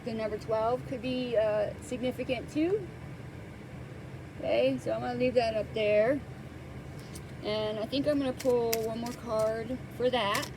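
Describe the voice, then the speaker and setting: A woman with a fairly high voice talks through a tarot reading, soft-spoken and halting. She sits close to the microphone. Steady background noise and passing traffic are heard behind her. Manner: soft-spoken, hesitant with many fillers. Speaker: tarot card reader. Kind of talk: casual tarot reading to camera.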